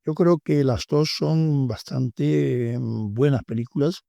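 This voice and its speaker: A man's voice: mid-range voice, slow pace, gravelly, warm tone, no echo. An older man from Tangier with a Tangier Spanish accent, a book author speaking as a guest in a radio studio interview, reflective and hesitant with many fillers. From Tangier, Morocco.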